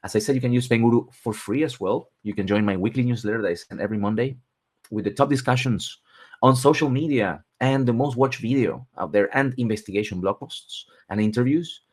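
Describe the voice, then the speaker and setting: A man, hosting a webinar and working through lists point by point with pauses between items. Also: medium pitch. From Argentina. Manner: steady, list-like delivery with pauses between items. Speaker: webinar host and relocation consultant. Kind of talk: presenting a webinar.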